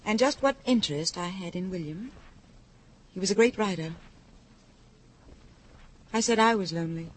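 An actress playing a lonely widow in an old radio drama, speaking with wounded, wistful sorrow. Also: female voice, medium pitch, normal speed, pauses often, husky, close to an everyday voice, rough recording. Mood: sorrowful. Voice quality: wistful, wounded, then sharp. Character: grieving, bitter woman in love with a dead writer. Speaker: widow visiting a detective. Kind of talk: 1950s radio drama acting.

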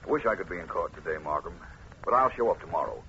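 An actor playing an urbane, good-humored private detective, polished and dryly teasing, in an old radio drama. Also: male voice, sits low, expressive, fast talker, creaky, sharp tone, full character voice. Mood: genial, dry. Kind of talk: 1950s radio drama acting. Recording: muffled, hissy old radio recording.

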